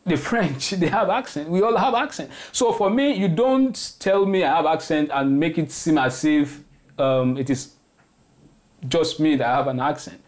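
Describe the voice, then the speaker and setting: A man's voice: fairly high pitch, lively intonation, measured pace. A man with a Nigerian accent in his forties, answering interview questions earnestly at home. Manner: earnest, emphatic about his identity. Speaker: interviewee, activist. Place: indoors at home, kitchen.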